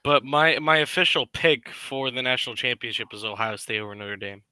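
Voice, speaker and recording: A man's voice: medium pitch, brisk, bright, forceful tone, smooth, no room sound. A man on a sports stream confidently stating his picks and opinions.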